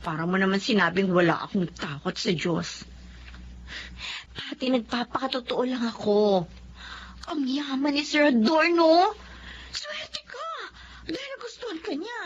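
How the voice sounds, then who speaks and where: A woman's voice: sits high, expressive, measured pace, long pauses. A woman playing a pragmatic, worldly Filipino friend, coaxing and exclamatory, in radio drama style. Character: pragmatic, worldly female friend nudging toward temptation. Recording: dull lo-fi audio.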